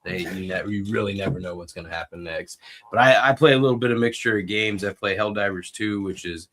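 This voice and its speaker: A man's voice: lowish voice, fast talker, fairly bright tone. A man chatting casually as a podcast co-host and livestreamer. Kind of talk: casual chat.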